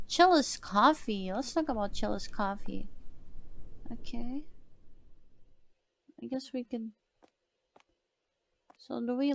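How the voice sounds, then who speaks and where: A woman streamer with a soft voice, chatting casually as she plays a horror game and reads its lines aloud. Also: medium pitch, a little hiss or hum, poor audio. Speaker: gaming streamer. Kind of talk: casual let's-play commentary.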